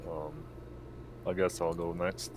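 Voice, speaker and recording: Very deep, slow, even-voiced man. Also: very noisy. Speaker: tabletop game player. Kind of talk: plain character introduction.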